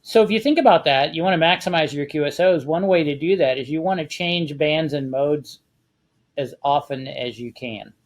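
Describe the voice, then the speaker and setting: Man with a higher-pitched voice, explaining casually. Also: normal speed. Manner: conversational, giving practical advice. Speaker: panelist on a ham radio discussion show.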